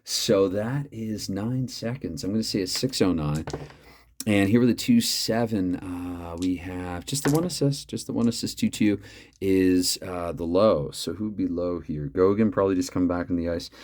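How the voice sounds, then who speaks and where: A man hosting a tabletop hockey replay at home, mixing mock play-by-play with chatty, thinking-aloud dice math in a loud voice he keeps reined in. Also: low-pitched, normal speed, a little hiss or hum. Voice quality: loud voice, holding back. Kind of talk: mock play-by-play commentary between dice rolls.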